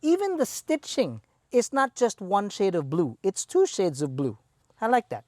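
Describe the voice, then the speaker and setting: Lively Filipino man reviewing a car. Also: sits high, normal speed, dry recording. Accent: Filipino English. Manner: chatty, playful, enthusiastic asides. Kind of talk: presenting a car review walkaround.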